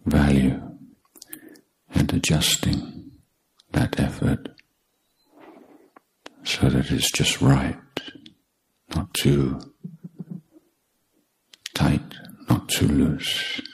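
Man, meditation instructor, creaky low register, extremely slow pace, deliberate phrasing, long pauses. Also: wildly swooping pitch, low-quality recording. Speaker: meditation teacher, Buddhist monk.

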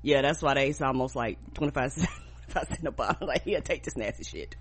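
A woman co-hosting a podcast, stuffed up with a cold, telling stories in a casual, profane, animated way. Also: very deep voice, quick pace, breathy edge. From rural United States. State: congested from a cold. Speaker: podcast co-host.

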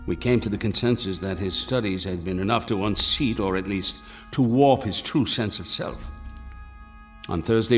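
An actor playing a grave, formal gentleman doctor in a radio drama, with measured delivery. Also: lowish voice, close to an everyday voice. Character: grave, concerned gentleman doctor narrating his account. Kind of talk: radio drama narration and dialogue.

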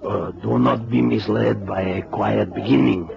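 An actor playing a world-weary, passionate Mexican-born government agent in a radio drama, with a gravelly Mexican accent and short emphatic phrases. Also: male voice, lowish voice, steady tone, unhurried, a crowd in the background. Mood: knowing, cautioning. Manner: ruminative, speaks in short emphatic phrases with questioning tags. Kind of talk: 1960s-70s radio drama acting. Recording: noisy, dull old radio recording.

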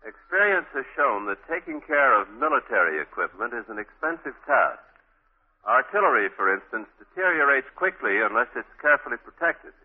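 A man reading a scripted sponsor message as a formal, deliberate 1940s radio announcer. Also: medium pitch, sing-song, breathy edge. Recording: muffled 1940s radio broadcast.

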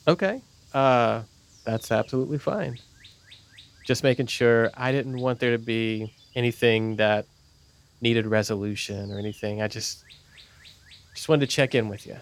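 A man's voice: mid-range voice, moderate pace, natural voice. Man playing an earnest, placating church camp counsellor. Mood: awkward, placating. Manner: hesitant, reassuring. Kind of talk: naturalistic audio drama acting.

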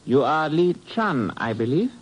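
An actor playing a formal, menacing North Korean army lieutenant in a 1950s radio drama, with clipped, officious politeness. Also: male voice, fairly high pitch, very animated, unhurried, slightly rough, full character voice. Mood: coldly officious. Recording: dull vintage radio broadcast recording.

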